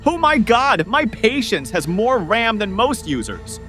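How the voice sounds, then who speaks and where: A high-pitched, piercing, stubborn computer key, acted by a man for a comic puppet show. It demands respect in clipped, exasperated declarations, with swooping intonation over music. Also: big pitch swings, a little slow, bright, forceful tone, full character voice. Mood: exasperated, indignant. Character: stubborn, long-suffering Control keyboard key demanding respect. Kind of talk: comedic puppet show interview.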